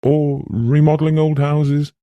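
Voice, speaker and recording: A man's voice: a little high, little pitch movement, unhurried, no echo, dull audio, pitched above the performer's own voice, close to an everyday voice. A man voicing an anxious, chatty woman from the city in a novel, with tentative, polite manners. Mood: careful probing.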